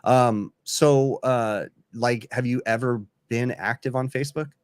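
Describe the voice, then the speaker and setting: A man of about forty hosting a casual livestream chat, halting and full of fillers. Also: mid-range voice, clear, resonant tone, no room sound, clean studio sound. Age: 40s. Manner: chatty, halting, many fillers. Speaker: livestream host.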